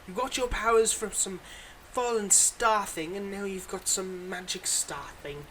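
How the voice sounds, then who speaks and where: A man's voice: sits high, measured pace, sharp tone, rough recording. Man acting as weary supervillain, sardonic yet kind, hesitant conversational delivery, intimate roleplay monologue, faint background noise. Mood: wry, earnest. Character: weary, wry, teasing supervillain with memory powers, secretly kind.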